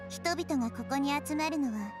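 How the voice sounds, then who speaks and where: A woman voicing a gentle, wise girl deity in an anime game, speaking in a poised, philosophical manner. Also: high-pitched, fast talker, over music, lightly acted. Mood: thoughtful. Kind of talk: anime game voice acting in Japanese.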